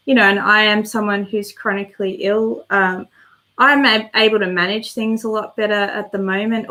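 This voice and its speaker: A woman's voice: mid-range voice, a bit bright. A woman hosting a casual live stream and answering viewer comments, chatty and meandering as she thinks aloud, slightly congested with a worn voice.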